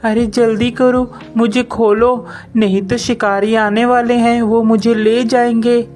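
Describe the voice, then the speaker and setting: Man putting on a high-pitched pleading female fox. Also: little pitch movement, unhurried, a little mellow. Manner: pleading, urgent.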